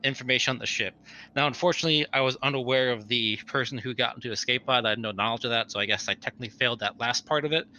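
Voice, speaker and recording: Very bright-voiced man recapping a game. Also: mid-range voice, racing, muffled recording. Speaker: player in a tabletop roleplaying game. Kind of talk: casual game debrief, recapping a character's agendas. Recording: faint background noise.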